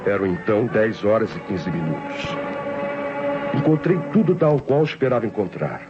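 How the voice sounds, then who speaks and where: An actor playing a scheming, nervous nephew who narrates theatrically in an old Brazilian radio drama. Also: male voice, fairly low pitch, expressive, normal speed, natural voice. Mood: tense, scheming. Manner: theatrical, tense storytelling. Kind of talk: dramatic first-person radio narration, old-time acting. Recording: dull old radio recording with music.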